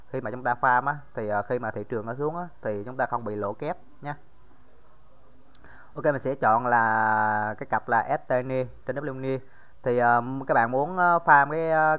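A man's voice: mid-range voice, fairly bright tone, clean tone, dull audio. A man giving a casual screen-recorded tutorial, talking straight to viewers with many fillers. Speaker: crypto tutorial host.

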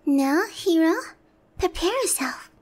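Woman playing a very high-voiced, haughty demon lady. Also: darkish tone. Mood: haughty, commanding. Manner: slow, theatrical, teasing. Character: haughty but lonely, easily flustered female demon lord. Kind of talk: roleplay ASMR acting.

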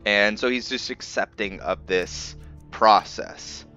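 A man giving casual commentary on his roleplay playthrough, haltingly thinking aloud as he goes. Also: on the low side, pushed and bright, dull audio, faint music behind. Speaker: gamer narrating a roleplay playthrough.

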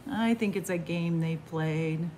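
A middle-aged woman reading a picture book aloud for children, dramatic, with hushed whispers and drawn-out words. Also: on the low side, slight background noise. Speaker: library story time host. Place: small library room with bookshelves, indoors.

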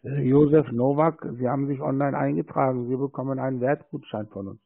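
Creaky-voiced man on noisy phone. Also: mid-range voice, fairly even intonation, fairly quick. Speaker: telephone sales caller. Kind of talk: cold-call pitch. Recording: noisy narrowband phone line.

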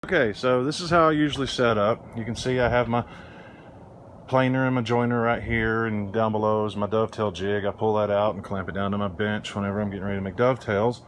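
A middle-aged man hosting a woodworking channel, giving a relaxed, rambling tour of his porch workshop. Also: lowish voice, brisk, a little hiss or hum. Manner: relaxed, rambling, with restarts and fillers. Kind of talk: casual shop tour. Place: outdoor front porch workshop under a canopy.

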